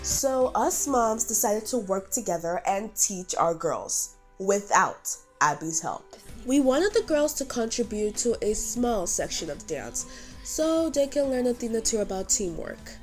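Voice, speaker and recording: A woman's voice: medium pitch, sing-song, a little slow, soft background music. A woman playing a concerned, thoughtful dance mom in an amateur roleplay drama. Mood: explaining.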